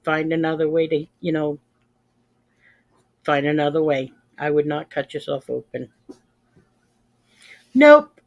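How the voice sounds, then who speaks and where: A woman's voice: deep voice, flat delivery, moderate pace, long pauses, a little mellow, muffled recording. A woman in her fifties chatting casually as a livestream host, plain-spoken and emphatic, repeating phrases for stress. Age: 50s. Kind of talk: casual chat with live viewers.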